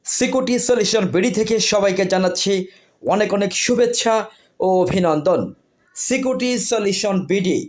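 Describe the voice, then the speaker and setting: High-pitched Bangladeshi man pitching products. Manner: repetitive, deliberate announcing in short phrases. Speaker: product seller and presenter. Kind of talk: sales pitch for electronics.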